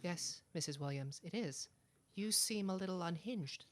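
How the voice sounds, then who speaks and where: A man's voice: high voice, moderate pace, slight background noise, natural voice. Cold, curt young blackmailer, played by a man. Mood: cool menace. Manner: controlled, curt, haughty. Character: cold, menacing young male blackmailer back from boarding school. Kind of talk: campus radio serial drama acting.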